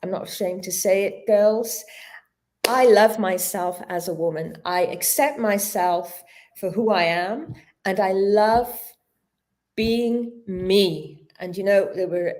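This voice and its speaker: A woman's voice: mid-range voice, a little slow, darkish tone. A woman in her fifties, a yoga teacher speaking as a guest in a casual interview, earnest and reflective, her speech a little clumsy from a dental injection. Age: 50s. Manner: slightly stumbling, searching for words. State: mouth numb from dental injection.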